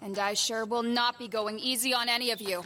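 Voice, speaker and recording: A woman's voice: on the high side, fairly even intonation, fairly quick, bright, forceful tone, slight background noise. An actress playing a tough but fair female knight captain in an audio drama, commanding, firm and no-nonsense. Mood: stern, commanding. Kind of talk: scripted audio drama acting.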